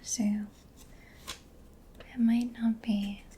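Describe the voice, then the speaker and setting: A woman speaking in a breathy, mostly whispered voice, gentle, unhurried and reassuring, in a soft-spoken ASMR roleplay as a caring technician. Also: fairly high pitch, little pitch movement, background noise.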